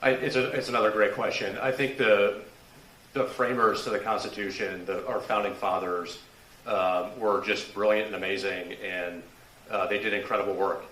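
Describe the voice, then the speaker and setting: A man answering a question at a candidate forum, halting and full of restarts. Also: fairly low pitch, flat delivery, sharp tone, a little hiss or hum. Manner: halting, many restarts and fillers. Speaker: political candidate. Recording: echoey room from a distance.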